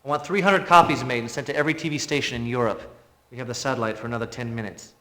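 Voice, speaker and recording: An actor playing a bossy propaganda director in a video game, giving clipped orders. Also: male voice, medium pitch, rapid-fire, a bit bright, lightly acted. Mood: brisk, demanding. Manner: clipped, commanding. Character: brisk, bossy propaganda director behind the camera.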